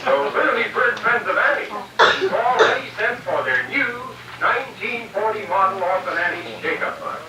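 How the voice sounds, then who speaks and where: A man's voice: sits high, lively intonation, moderate pace, crowd noise. A man playing a gushing, folksy 1940s radio announcer selling eagerly to children. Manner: gushing, emphatic, folksy exclamations. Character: excitable, wholesome 1940 radio pitchman selling to kids. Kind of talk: 1940s radio advertising, performed live. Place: theatre stage, live radio re-creation. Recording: echoey far-off stage recording with audience.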